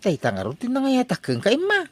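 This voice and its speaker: Fickle young bridegroom played by a man, Ilocano accent, radio comedy; brazen wheedler with extremely animated, swooping pitch. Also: fast talker, no room sound, full character voice. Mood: wheedling. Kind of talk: comedy radio drama acting.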